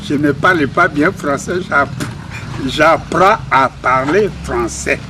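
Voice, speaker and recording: An older man with a Congolese French accent recounting his life in an interview, halting and modest. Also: a little high. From Republic of the Congo. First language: a Congolese language. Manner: slow, halting, self-deprecating. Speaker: interviewee, retired cabinetmaker. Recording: faint background noise.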